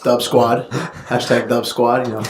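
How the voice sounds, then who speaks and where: A man's voice: mid-range voice, flat delivery, a crowd in the background. Young man hosting a casual podcast. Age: 20s. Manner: laid-back, laughs often, drawn-out intro delivery. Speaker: podcast co-host. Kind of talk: casual chat among friends. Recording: echoey room with faint noise.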